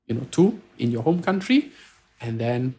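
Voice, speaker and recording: A man's voice: medium pitch, very animated, moderate pace, dark tone, slight background noise. A man with a Singaporean English accent, answering interview questions earnestly, restarting phrases and often saying "you know". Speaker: guest lawyer.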